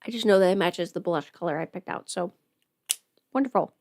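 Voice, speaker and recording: A woman's voice: on the low side, a little mellow, dry recording. Casual woman livestreamer, dry close sound. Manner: halting, rambling, trails off mid-thought. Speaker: livestream host, audio performer. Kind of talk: casual livestream chat while getting ready.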